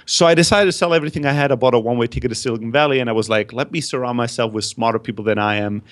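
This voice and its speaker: A man from Greece tells his story as a guest on a podcast. He talks with candid, energetic self-deprecation. His voice sits at a middle pitch. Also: rapid-fire. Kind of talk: casual interview storytelling.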